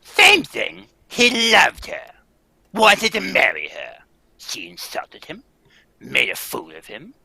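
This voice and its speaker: A man's voice: high-pitched, huge pitch swings, slow pace, gravelly, breathy edge, no room sound, heavily acted. A man reading a defensive, snappy woman animal trainer in a live old-time radio table read. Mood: curt. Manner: defensive, snappy, hushed then shouting.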